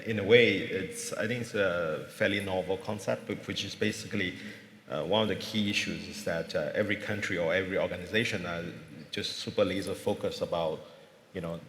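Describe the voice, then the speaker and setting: Man from Singapore, low voice, conference panelist, roomy hall sound. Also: fairly bright tone, slight background noise. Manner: thoughtful, many fillers and restarts. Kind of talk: panel discussion.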